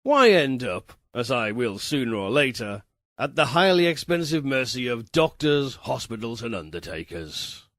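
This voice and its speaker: A man's voice: medium pitch, big pitch swings, moderate pace, fairly bright tone, dry recording, clean studio sound, pitched below the performer's own voice. A man voicing a world-weary American poet in a storybook reading, wry, sardonic and formal.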